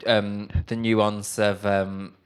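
A man's voice: fairly low pitch, level tone, slow pace, smooth. A man, a stand-up comedian, chatting in a radio interview, camp and self-mocking, cracking jokes as he goes.

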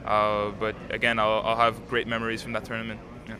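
An eighteen-year-old man from Canada, a native French speaker, answering interview questions outdoors in a calm, composed way with many fillers. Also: fairly low pitch, a little fast. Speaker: tennis player, interviewee. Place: outdoors at a tennis stadium. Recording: crowd noise behind.

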